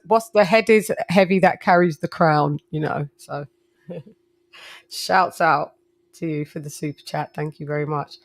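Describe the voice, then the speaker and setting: A man hosting a casual live boxing chat, excitable and shouting out viewers' super chats. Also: on the high side, slight background noise. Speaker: live-stream host.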